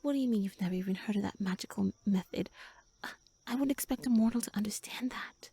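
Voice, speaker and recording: A woman playing a pouty tsundere elf shrunk to pixie size in a roleplay audio, haughty, flustered and halting as she speaks to the listener. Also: medium pitch, mellow, husky, no room sound. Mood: sheepish, haughty.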